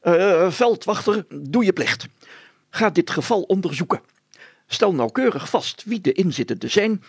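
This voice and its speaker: A man's voice: high-pitched, sing-song, normal speed, vocal fry, slightly rough, no room sound, pitched above the performer's own voice, exaggerated voice. A man voicing a flustered, bossy village mayor in a story, stuttering and spluttering with sneezy speech. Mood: bossy, hurried. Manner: pompous, spluttering, exclamatory.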